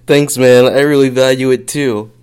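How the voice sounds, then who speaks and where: A man's voice: mid-range voice, fairly even intonation, normal speed, darkish tone, clear, resonant tone. A man doing an amateur script read-through with friends, giggly and often breaking character.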